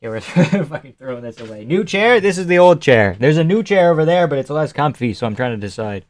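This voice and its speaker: A man's voice: on the high side, animated, brisk, no echo. A young man hosting a live stream, chatting casually and sarcastically while reading viewer comments. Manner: sarcastic, offhand, swears and laughs often.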